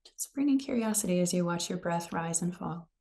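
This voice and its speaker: Female meditation guide, soft-edged dark tone with noticeable vocal fry, gentle, slow-paced delivery. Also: lowish voice, expressive. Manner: gentle, unhurried, soothing. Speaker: meditation teacher.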